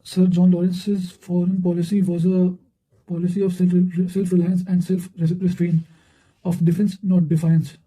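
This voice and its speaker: High-pitched man reading aloud, very mellow. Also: rough recording. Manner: formal, bookish reading with occasional stumbles. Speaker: narrator reading history quotations. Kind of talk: scripted read-aloud of quotations.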